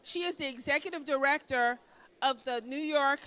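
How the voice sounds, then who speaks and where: A woman's voice: high-pitched, normal speed, bright, forceful tone. A woman presenting an award at a gala, laughing in between her words. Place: hotel banquet hall.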